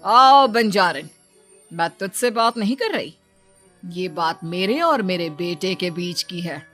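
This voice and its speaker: A woman's voice: on the low side, animated, slow pace, fairly bright tone, faint music behind. A woman playing a cruel, scheming middle-aged mother-in-law in a cartoon story, with a theatrical, domineering delivery. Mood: threatening. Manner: scolding, threatening, theatrical. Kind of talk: dramatic cartoon story dialogue.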